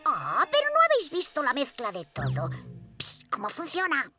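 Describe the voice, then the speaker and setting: A pompous little cartoon ninja boy villain, gloating in Castilian Spanish. The voice is very high and theatrical, with big swoops in pitch. Loud music plays behind it. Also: wildly swooping pitch, normal speed, exaggerated voice. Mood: gloating, scheming. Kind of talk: animated children's series dub acting.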